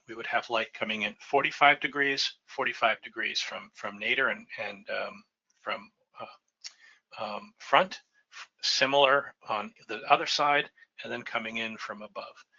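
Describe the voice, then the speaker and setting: A man's voice: medium pitch, bright, forceful tone. Man, middle-aged, casual lecturing, lots of fillers and "right?", dull webcam mic. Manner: conversational, frequent "right?" checks and fillers. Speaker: lighting designer presenting a webinar. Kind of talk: slide lecture, explanatory. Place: home office with bookshelves. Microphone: webcam and computer mic on a video call.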